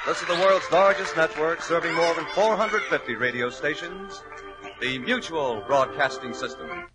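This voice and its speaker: A man's voice: on the high side, expressive, normal speed, loud music behind the voice, poor audio. A man with an American accent announcing in scripted 1940s radio style, polished and emphatic. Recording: old 1940s radio recording.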